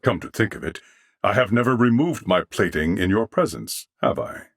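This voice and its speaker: An armored cyborg man from a video game, courteous and solemn. His low voice has a gravelly creak and moves through expressive rises and falls. Every phrase is formal and measured, with noble earnestness. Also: no echo, studio quality, exaggerated voice. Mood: dry, courteous. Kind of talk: video game character voice acting.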